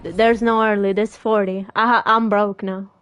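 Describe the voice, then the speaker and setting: A woman game streamer chatting casually in a slangy, halting, rambling way. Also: mid-range voice, level tone, fairly bright tone, smooth, soft background music. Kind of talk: casual gaming stream chat.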